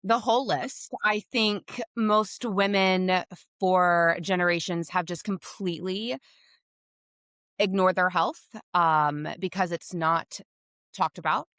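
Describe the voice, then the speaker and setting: A woman with a low, slightly scratchy voice who speaks openly and with confidence, explaining hormone care to a podcast host. She is recorded dry and close, with no room sound. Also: steady tone, takes it slowly, a bit bright, no echo. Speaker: women's hormone health provider. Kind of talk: podcast interview.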